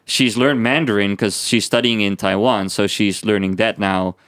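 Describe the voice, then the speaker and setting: Man telling animated stories. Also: on the low side, moderate pace, clear, resonant tone, no room sound, clean studio sound. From Netherlands. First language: Dutch. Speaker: podcast guest. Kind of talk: casual podcast chat.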